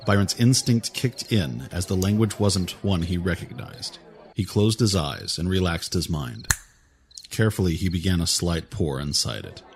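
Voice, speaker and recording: A man narrating an audio drama in a measured, even storytelling manner. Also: low voice, a little hiss or hum. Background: music score.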